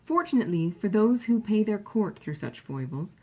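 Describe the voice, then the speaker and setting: Female audiobook narrator, literary style, measured phrasing, animated intonation, breathy-husky voice. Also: mid-range voice, narrow, tinny audio. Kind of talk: scripted literary narration, reading a classic novel.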